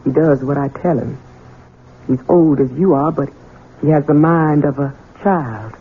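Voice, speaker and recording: A tinny vintage radio sound frames a husky middle-aged housekeeper. She speaks humbly and folksily, anxious and protective, in old radio mystery style. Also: low-pitched, measured pace, full character voice. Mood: anxious, distressed. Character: anxious, deferential older housekeeper, protective mother. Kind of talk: 1970s radio drama acting. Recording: tinny 1970s radio recording.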